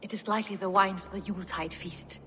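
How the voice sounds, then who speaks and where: A woman's voice: mid-range voice, fairly even intonation, fast talker, noisy background, natural voice. A woman playing an earnest, loyal Norse noblewoman in a video game, terse and formal. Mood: anxious. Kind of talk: dramatic video game cutscene acting.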